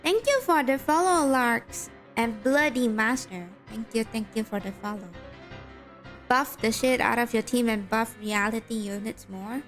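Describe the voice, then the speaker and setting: A young Malaysian-accented woman with a slightly high voice chats with her stream viewers as she plays. She reacts to the game, greets people in chat and reads game lines aloud. Soft game music plays underneath her voice. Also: measured pace.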